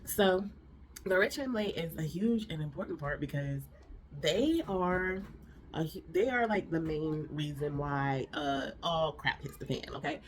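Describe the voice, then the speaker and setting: Young woman, lowish and nasal from a cold, chatty rambling recap host. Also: unhurried, slight background noise. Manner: rambling, chatty, many fillers and restarts. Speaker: reaction video host. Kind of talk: casual drama recap and review.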